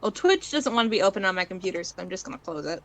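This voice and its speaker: A woman with a medium-pitched voice reads fan fiction as narrator. Her delivery is informal and slips into casual chat. She is heard over an online voice call. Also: animated, very fast, fairly bright tone, dry recording. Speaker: narrator, stream reader.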